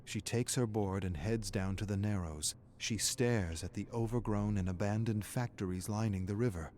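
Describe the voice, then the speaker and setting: A man reading a scripted story as a dramatic narrator, grave and deliberate. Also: on the low side, darkish tone, a little hiss or hum. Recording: music playing behind the narration. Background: background music.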